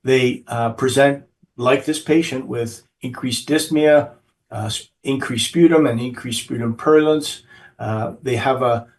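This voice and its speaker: Croaky-voiced man lecturing, slightly distant. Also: medium pitch. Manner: halting, repeats words. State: hoarse from a cold. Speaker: physician speaker. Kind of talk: webinar lecture.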